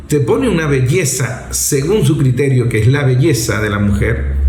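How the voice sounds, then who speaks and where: A man's voice: on the high side, a little mellow. Man explaining his opinions in an echoing room. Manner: didactic, emphatic, rhetorical questions. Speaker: commentator. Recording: roomy, echoing voice recording.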